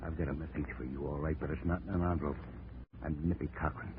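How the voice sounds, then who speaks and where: A man's voice: a bass voice, steady tone, fast talker. An actor playing a tough, threatening male thug in a 1940s radio drama. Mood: menacing. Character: menacing male thug, knife thrower. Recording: tinny old radio broadcast with hiss.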